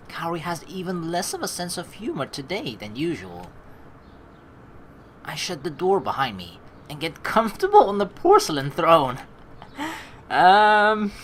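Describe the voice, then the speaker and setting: A young woman reading a visual novel aloud with joking, swearing asides, animated and quick to laugh. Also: on the low side, a little hiss or hum. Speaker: let's play streamer reading a visual novel.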